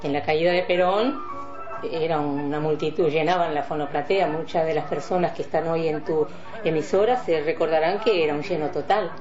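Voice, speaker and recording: Older uruguayan lady, low voice, rambly halting radio interview memories, bit of music behind. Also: muffled recording. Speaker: veteran radio announcer being interviewed.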